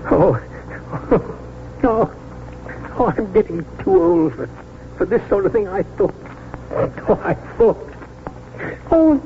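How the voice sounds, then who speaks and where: An actor playing a kindly, determined older country doctor in earnest, theatrical 1940s radio drama style. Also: male voice, high voice, lively intonation, takes it slowly, pauses often, a little hiss or hum, exaggerated voice. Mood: overcome with relief. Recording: muffled 1949 radio broadcast, music behind.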